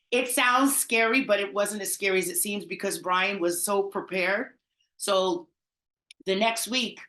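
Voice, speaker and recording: A woman's voice: medium pitch, reverberant. A middle-aged woman from the Bronx, telling stories in a casual interview and laughing often. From the Bronx, New York. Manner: animated, chatty, laughs often, trailing restarts. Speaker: party promoter, interview guest.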